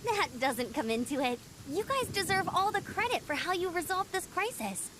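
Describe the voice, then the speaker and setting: A young female scout in a fantasy game, earnest and perky. Her voice is high and lively in pitch, with a friendly, dutiful air. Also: normal speed, noisy background. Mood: earnest, modest. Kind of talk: video game voice acting.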